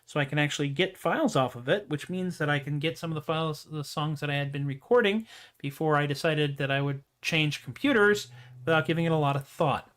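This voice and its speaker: A man hosting his own music livestream, chatting casually with self-deprecating jokes and trailing off into quiet asides. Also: fairly high pitch, fairly quick. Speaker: host and singer-songwriter. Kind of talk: casual livestream chat after a song.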